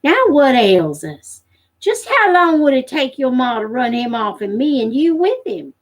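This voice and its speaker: A woman's voice: high-pitched, sing-song, measured pace, heavily acted. A woman voicing a patient, practical backwoods father and hunter in a storybook, explaining things in homespun rural dialect. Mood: gently firm.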